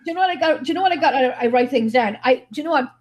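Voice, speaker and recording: A woman with a fairly high voice talks informally in a group conversation. It is loose, everyday chat with no script. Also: racing, darkish tone. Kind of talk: casual chat.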